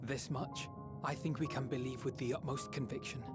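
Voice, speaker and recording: An earnest young male scholar from a fantasy game, played by a man. He speaks with compassion in measured, formal phrases, at a middling pitch. Orchestral music plays clearly behind him. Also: steady tone, close to an everyday voice. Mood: gently resolute. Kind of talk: dramatic fantasy game acting.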